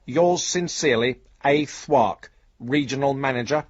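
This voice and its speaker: An actor playing an officious company manager, voicing formal payment demands in a radio drama. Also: male voice, mid-range voice, measured pace, highs cut off, close to an everyday voice. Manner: formal, official letter-reading. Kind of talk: radio drama letter monologue.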